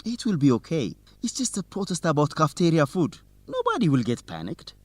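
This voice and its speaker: A man's voice: a little high, huge pitch swings, fairly quick, no room sound. A man playing an earnest, confident young student reporter in an educational radio drama. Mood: earnest, reassuring.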